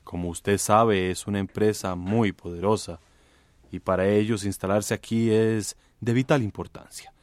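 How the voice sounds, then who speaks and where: A man acts out a smooth political schemer in a radio drama, with a low voice. He is a congressman who speaks formally and measuredly, always insinuating more than he says. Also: steady tone, moderate pace, no echo, natural voice. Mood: guarded. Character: male congressman, discreet, smooth, veiledly threatening political schemer. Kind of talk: Spanish-language radio drama acting.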